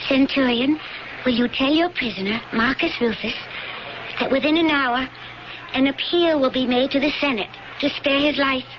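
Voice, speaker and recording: A woman's voice: sits high, normal speed, natural voice. An actress playing an anxious young woman in a radio drama, imploring in formal speech. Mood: urgent pleading. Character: anxious, urgent young woman pleading for a prisoner. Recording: muffled, very noisy radio broadcast.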